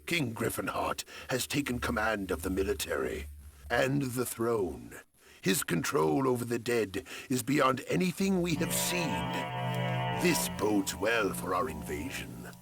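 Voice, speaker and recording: An actor playing a cold, scheming necromancer war commander, narrating a game cutscene in a theatrical, commanding, gravelly voice. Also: male voice, mid-range voice, sing-song, a little slow. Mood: ominous. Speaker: campaign briefing narrator. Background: music.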